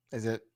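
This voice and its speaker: A man co-hosting a livestream with casual, jokey banter, his voice raspy and hoarse from talking all day. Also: darkish tone, no room sound, studio quality. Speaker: livestream co-host.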